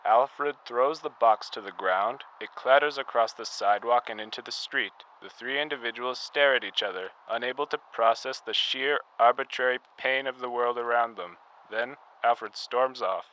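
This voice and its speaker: A man's voice: medium pitch, moderate pace, hardly any pauses, sharp tone, close to an everyday voice, rough recording. A young man narrating a radio drama as a solemn storyteller. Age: young adult. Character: solemn male storyteller of a pandemic tale.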